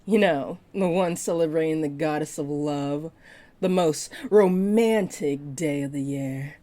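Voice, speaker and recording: A woman's voice: deep voice, warm tone. A woman voicing a flirty, possessive half-dragon librarian in a roleplay audio, speaking in a coy, playful drawl. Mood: flirty, probing. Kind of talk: roleplay audio acting addressed to the listener.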